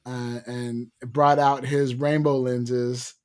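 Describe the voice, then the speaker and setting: A man's voice: mid-range voice, flat delivery, takes it slowly. A man hosting a casual podcast interview, rambling and repeating words as he searches for what to say. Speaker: podcast host.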